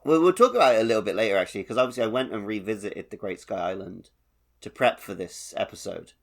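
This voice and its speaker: A man's voice: on the low side, animated, fast, creaky. A man co-hosting a gaming podcast, chatting casually and informally. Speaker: podcast co-host. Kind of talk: casual video game podcast chat.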